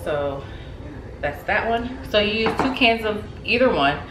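A woman in her thirties casually talking through a recipe in her home kitchen, chatty and relaxed, with lots of ums and likes. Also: medium pitch, measured pace. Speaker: home cook vlogger. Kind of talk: casual cooking demonstration. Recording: echoey kitchen with background noise. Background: TV playing in the background.

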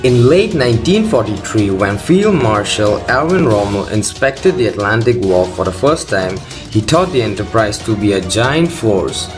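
A man's voice: lowish voice, very animated, normal speed, few pauses. A man reading scripted documentary narration as the host of a video. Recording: loud music under the voice. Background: loud background music.

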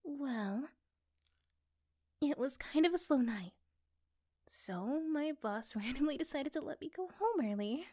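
A woman playing an affectionate, curious girlfriend in an ASMR roleplay, speaking gently and haltingly. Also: high voice, lively intonation. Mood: gentle, apologetic.

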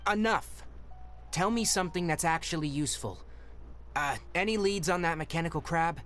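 A man's voice: fairly high pitch, moderate pace, fairly bright tone, natural voice. Man acting a lightish-voiced, blunt young forest ranger. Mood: brisk, firm. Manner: crisp, questioning, no-nonsense. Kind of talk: scripted video game dialogue acting. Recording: game music behind the voice.

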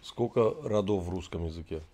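A man in his forties with a lowish voice asks pointed questions in a calm, mocking way. He sits in a room at home and speaks into a webcam. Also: fairly quick. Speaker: video chat host. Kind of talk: quizzing strangers on video chat. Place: room, seated in an armchair.

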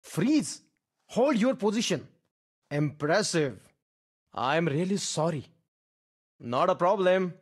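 An actor playing a game soldier who calls out short, urgent squad commands in a husky voice. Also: medium pitch, lively intonation, slow, pauses often. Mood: matter-of-fact. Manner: urgent, commanding, clipped phrases. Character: male battle-royale soldier teammate calling out squad commands. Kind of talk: short shouted game voice-pack callouts.

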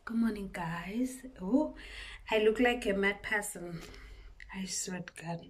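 A young Eswatini woman with her local English accent chats to her phone camera in an unhurried, easygoing way. She often drops to a near-whisper, then bursts out with loud exclamations. Her voice sits at an ordinary pitch for a woman. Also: slightly rough. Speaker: vlogger. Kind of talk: casual vlog chat. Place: home indoors and cold street outdoors. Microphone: phone front camera at arm's length.

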